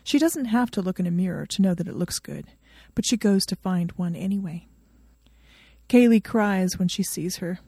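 Mellow woman narrating a story, very dry. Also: fairly low pitch, animated, normal speed, gravelly, breathy edge, no room sound. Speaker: fan fiction reader.

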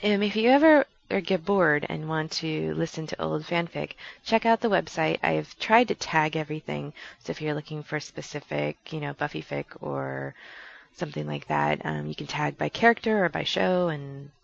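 A woman's voice: sits low, moderate pace, gravelly, lo-fi sound. A woman hosting a podcast in a casual, rambling, chatty and self-deprecating way, recorded on a headset. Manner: chatty, self-deprecating, laughing at own slips. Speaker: podcast host.

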